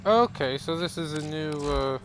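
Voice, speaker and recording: A man giving casual, low-key, muttered commentary as he plays a game on a stream. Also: animated, normal speed, a little hiss or hum. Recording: loud game music behind.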